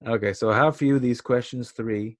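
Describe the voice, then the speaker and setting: A man's voice: mid-range voice, fairly quick, dry recording, clean studio sound. A man hosting a casual quiz in chat, playful and teasing. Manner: playful and teasing, laughing often. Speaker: quiz host.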